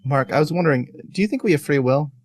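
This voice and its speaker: A man hosting a casual conversational podcast, with halting, self-correcting phrasing. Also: fairly high pitch, lively intonation. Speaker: podcast host.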